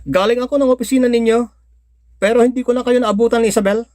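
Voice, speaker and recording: A man's voice: very high-pitched, fairly even intonation, fast talker, a little mellow, dry recording, studio quality. A man with a Filipino accent acting a possessive, demanding young twin brother in a melodramatic Tagalog radio drama. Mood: insistent, desperate. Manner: insistent, melodramatic.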